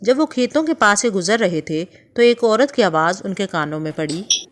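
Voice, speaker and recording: Calm woman narrating a kids' story. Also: medium pitch, moderate pace. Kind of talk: scripted narration for children.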